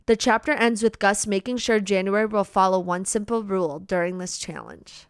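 A woman's voice: medium pitch, steady tone, normal speed, clear, resonant tone, no room sound, clean studio sound. A young woman co-hosting a book podcast, chatting casually in an enthusiastic, emphatic, gushing way.

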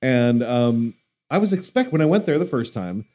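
A man's voice: mid-range voice, very animated, normal speed, mellow. A man interviewing stage actors in a chatty way, laughing easily. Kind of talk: conversational interview.

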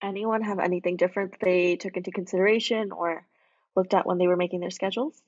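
Woman moderator, relaxed and filler-heavy; lo-fi, dry video-call sound. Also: fairly low pitch, quick pace, dry recording. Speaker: forum moderator, medical graduate. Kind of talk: casual panel moderation. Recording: dull lo-fi audio, dry.

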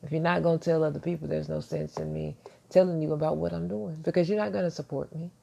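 A self-assured man talks on his podcast in a soft, deep voice with a gravelly edge. His tone is dark and mellow. He keeps it hushed and reflective, circling back with small restarts. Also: steady tone, quick pace. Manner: speaking quietly so as not to wake neighbors. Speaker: podcast host. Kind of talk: casual motivational monologue. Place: at home, early morning.

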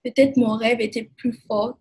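A woman from Burkina Faso, answering interview questions in hesitant, measured phrasing. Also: on the high side, flat delivery, a crowd in the background. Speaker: guest, immigration lawyer.

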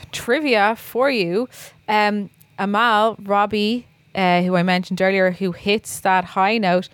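A woman's voice: medium pitch, dry recording. Playful rambling woman, dry sound. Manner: playful, self-mocking, rambling. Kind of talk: casual chat.

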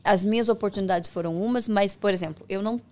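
A woman chatting as a podcast guest, telling her story with lively jumps in pitch when she gets excited. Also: fast. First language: Portuguese. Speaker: podcast guest, micropigmentation professional. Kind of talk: casual conversation.

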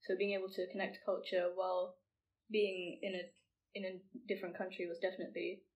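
A teenage girl from England speaks in a flat, steady tone. She sits some distance from the microphone, so she sounds a little removed. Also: lowish voice, a little fast, lo-fi sound. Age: teens. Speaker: podcast guest. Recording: distant from the mic.

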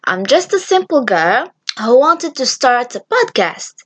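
Slow-talking young woman, Arabic native. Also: sits high, very animated, husky. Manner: chatty, hesitant with many fillers and restarts. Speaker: podcast host. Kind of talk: casual solo podcast monologue.

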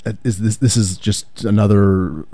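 A man with a very deep, mellow voice, close and dry on the mic. He drops deadpan quips and plays along with his co-host's bits in a relaxed podcast chat. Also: moderate pace, no room sound. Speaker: podcast co-host. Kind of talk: casual comedic chat.

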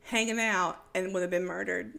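A woman's voice: fairly low pitch, steady tone, moderate pace, vocal fry, bright, forceful tone. A woman from East Texas co-hosting a casual podcast, blunt and jokey, laughing often. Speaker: podcast co-host.

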